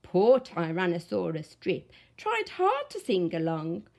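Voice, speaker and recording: A woman teacher reading a picture book aloud in an expressive, sing-song way. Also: fairly low pitch, takes it slowly, creaky. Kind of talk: storybook read-aloud.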